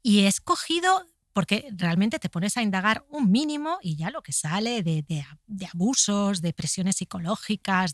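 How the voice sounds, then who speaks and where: A woman's voice: mid-range voice, no echo, studio quality. A woman with an accent from Spain telling stories conversationally as an author being interviewed, often adding rhetorical tags.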